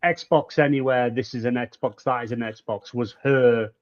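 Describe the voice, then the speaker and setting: A man's voice: mid-range voice, fairly even intonation, a little slow, dull audio. A man on a gaming podcast panel, breaking in briefly to correct and fact-check. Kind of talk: casual panel chat.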